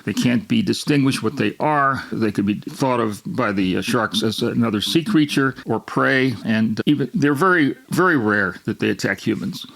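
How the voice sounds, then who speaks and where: Man, gravelly voice, middle pitch, climate show host, rambling explanation, lots of restarts. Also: expressive, moderate pace, few pauses, a bit bright, low-quality recording. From Miami, Florida. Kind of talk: unscripted explanatory monologue.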